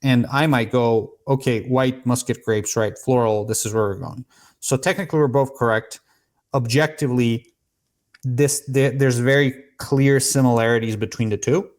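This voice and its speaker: A man's voice: mid-range voice, fairly even intonation, normal speed, darkish tone. A man from Serbia in his thirties, a guest on a podcast, explaining and telling stories with frequent restarts. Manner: explanatory, storytelling, many restarts. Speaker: podcast guest, cigar maker. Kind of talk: casual podcast conversation.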